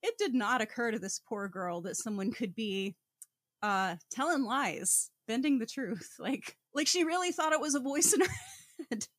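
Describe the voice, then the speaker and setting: A woman's voice: on the high side, animated, normal speed, a bit bright, no echo, studio quality. A woman co-hosting a podcast, giving casual comedic book commentary in a wry, emphatic, slangy manner. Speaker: podcast co-host.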